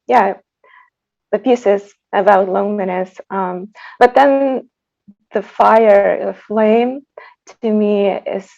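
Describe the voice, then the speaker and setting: Woman, native Tatar speaker, gravelly fry, hesitant introduction, dull lo-fi video-call sound. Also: medium pitch, darkish tone. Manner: hesitant, reflective, halting phrasing. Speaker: composer introducing her piece. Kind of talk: informal spoken introduction at an online concert.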